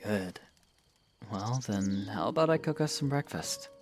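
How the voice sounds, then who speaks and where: Man playing young knight, audio drama, lowish pitch, reserved, considerate, soft-spoken, earnest, clear background music. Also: lively intonation, measured pace, no echo. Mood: practical, considerate. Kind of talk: fan audio drama acting.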